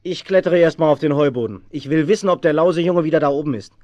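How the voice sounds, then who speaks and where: Surly henchman, fairly high male voice, quarrelsome, played by an actor, dry radio-play recording. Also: fast, mellow, no echo, close to an everyday voice. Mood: menacing. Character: aggrieved, aggressive male crook henchman. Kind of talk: 1970s German children's radio play acting. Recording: dry, slightly dull 1970s studio recording.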